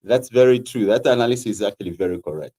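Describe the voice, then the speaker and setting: A man with a Zambian accent answers interview questions as a political candidate. His voice sits low and sounds dark and mellow. He speaks in a measured, earnest way, pausing often on fillers. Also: lively intonation.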